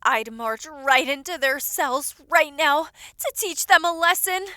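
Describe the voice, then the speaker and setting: A caring young lady's maid played by a woman talks in a high, bright voice. She is loyal and attentive, fussing over her charge with familiar, gentle scolding. It is audio roleplay acting, recorded dry. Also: expressive, a little slow, no echo, full character voice. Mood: protective.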